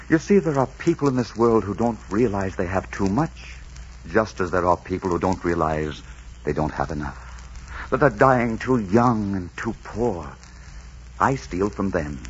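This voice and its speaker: An actor playing a dashing, suave gentleman highwayman in a 1940s radio romance, with courtly, ardent delivery. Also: a little hiss or hum. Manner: suave, courtly, murmuring sweet declarations. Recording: dull 1940s radio recording with music.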